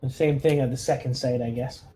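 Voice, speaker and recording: Mellow-voiced man playing a tabletop game. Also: medium pitch, steady tone, brisk. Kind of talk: casual tabletop game chat.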